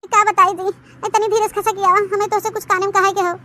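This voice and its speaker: A woman's voice: very high-pitched, little pitch movement, brisk, sharp tone. A woman voicing an old, guilt-ridden village mother-in-law in an Awadhi comedy cartoon, fretful and wailing, with an Awadhi accent. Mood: sheepish confession.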